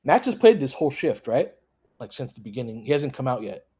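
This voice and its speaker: Husky guy calling a hoops game on a stream, casual, short bursts, chatting w listeners. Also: medium pitch, sing-song, brisk, mellow. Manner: conversational, off-the-cuff, talking in short clipped bursts. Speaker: sports livestream host doing fan play-by-play. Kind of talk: casual running basketball commentary, chatting with listeners.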